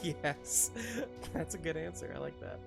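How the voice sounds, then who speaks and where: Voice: medium pitch, fairly even intonation, unhurried, vocal fry, soft background music. The informal, readily amused host of a roleplaying livestream, chatting casually with the group. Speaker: host and game master of a roleplaying stream.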